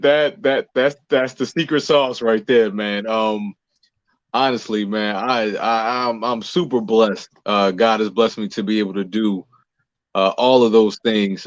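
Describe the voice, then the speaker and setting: A man's voice: fairly low pitch, no echo. Easygoing man, dull dry audio. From Newark, New Jersey, United States. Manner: humble, easygoing, chuckles readily. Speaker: interview guest, singer and playwright. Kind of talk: casual interview chat.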